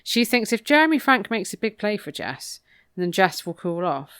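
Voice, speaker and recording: A woman's voice: mid-range voice, very animated, normal speed, fairly bright tone, clean tone. A woman co-hosting a podcast, casually recapping a book in an informal, matter-of-fact way. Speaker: podcast co-host.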